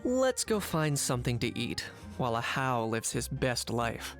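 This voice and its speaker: Calm deadpan young dude in a game, normal pitch, music clearly behind. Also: male voice, a little slow. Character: calm, matter-of-fact young man, dryly caring. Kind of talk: video game character acting.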